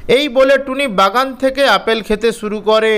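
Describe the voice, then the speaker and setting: High, clear male cartoon storyteller. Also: moderate pace, fairly bright tone. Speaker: story narrator. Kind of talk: scripted children's story narration.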